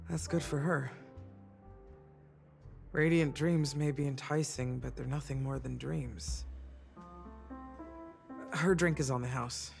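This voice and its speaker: A worldly young woman running a bar in a video game. Her voice is gravelly and unhurried, dry and composed, with music behind it. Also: very deep voice, steady tone, heavily acted. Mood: cool, dry. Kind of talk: English game voice acting. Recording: game dialogue over background music.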